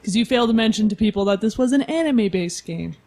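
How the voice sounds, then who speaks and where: A woman's voice: mid-range voice, lively intonation, fast talker, a little mellow. A woman casually describing her character as a player on a tabletop game podcast, wry and playful.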